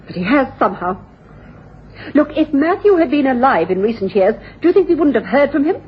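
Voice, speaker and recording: Blunt, disdainful well-bred widow, expressive, dull hissy 1980s radio drama. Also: female voice, high-pitched, brisk. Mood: adamant. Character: adamant, scornful sister, a well-bred widow. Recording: dull, noisy old radio broadcast.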